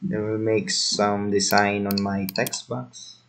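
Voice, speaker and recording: Slow, low-voiced man walking through software. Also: flat delivery, a little hiss or hum. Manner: slow step-by-step instructions with fillers. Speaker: software tutorial presenter. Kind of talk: screen-recorded software tutorial.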